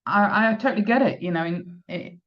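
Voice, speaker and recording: A woman's voice: medium pitch, a little fast, dull audio. A woman hosting a podcast, interviewing a guest, talking hesitantly with many fillers and restarts. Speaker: podcast host.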